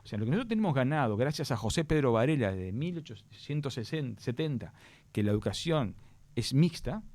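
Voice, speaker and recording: A man's voice: mid-range voice, sing-song, a little fast, a little mellow, dry recording. A middle-aged man with a Uruguayan accent, a guest on a radio talk show, explaining education policy earnestly with frequent restarts. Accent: Uruguayan Spanish. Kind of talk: radio interview answers.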